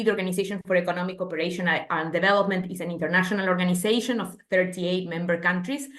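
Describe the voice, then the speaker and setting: A woman policy analyst introducing herself and explaining her organization on a webinar video call, in a clear, explanatory way. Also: mid-range voice, fairly bright tone. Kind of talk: webinar presentation.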